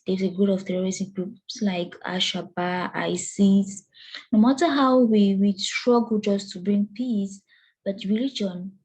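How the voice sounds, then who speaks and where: A young woman from Cameroon delivers a lecture presentation in a mellow, slightly breathy voice. She talks earnestly, often pausing on fillers and restarting her phrases as she works through her points. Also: medium pitch, low-quality recording.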